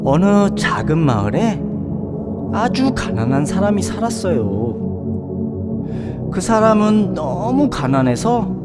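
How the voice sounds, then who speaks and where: A man's voice: high-pitched, very animated, takes it slowly, long pauses, over loud music. A man reading a children's fairy tale aloud as a scripted storybook narrator.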